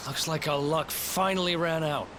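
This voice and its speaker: A man's voice: a little high, moderate pace, noisy background, natural voice. An actor voicing a cocky young male thief in a game cutscene, full of sarcastic quips. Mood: wry. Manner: quippy, sarcastic asides. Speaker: game character. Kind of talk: video game cutscene voice acting.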